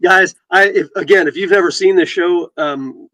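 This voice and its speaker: Man, co-host, teasing, stop-start delivery, slightly high voice, dry close sound. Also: sharp tone, no echo, poor audio. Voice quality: deep voice. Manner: joking, teasing, halting restarts. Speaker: podcast host and realtor. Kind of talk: casual chat, reading headlines aloud.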